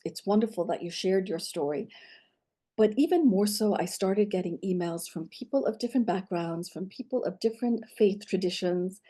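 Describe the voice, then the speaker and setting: A middle-aged woman telling her personal story in an interview, reflective and measured, often saying "you know". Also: mid-range voice, mellow. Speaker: guest storyteller.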